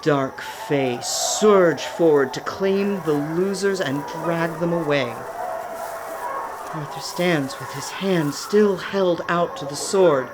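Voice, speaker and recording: A woman narrating a scripted radio play with measured, dramatic storytelling. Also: low voice, noisy background.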